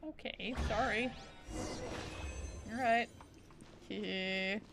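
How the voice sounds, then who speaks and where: A woman's voice: medium pitch, steady tone, drawn-out words. A woman streaming a video game, reacting casually in short, chatty remarks. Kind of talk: casual live gameplay reactions. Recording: streamed talk over clear game music.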